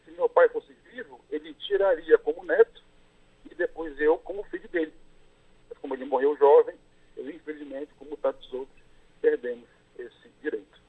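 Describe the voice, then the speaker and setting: A Brazilian man, a lawyer, giving measured explanations in an interview over a phone line, with fillers as he works through each point. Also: on the high side, fairly even intonation, slightly rough.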